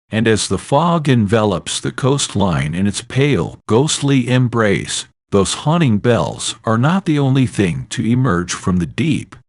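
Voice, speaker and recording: A man's voice: fairly low pitch, no room sound, clean studio sound. A man narrating a scripted horror story in an ominous, theatrical and solemn manner.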